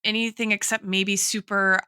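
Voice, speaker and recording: A woman's voice: medium pitch, little pitch movement, normal speed, pushed and bright, clear, resonant tone, no echo, studio quality. A woman in a casual, analytical podcast discussion, thinking aloud as she goes and often checking in with "right?". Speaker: podcast co-host and guest expert.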